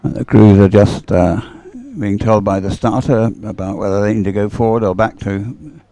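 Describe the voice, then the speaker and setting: A man commentates live on a race in an unscripted, conversational style. His voice sits low and is mellow, with a lot of fry in it. He tends to hesitate and ramble as he describes what he sees. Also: steady tone, normal speed, dry recording. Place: outdoors beside the water at a regatta.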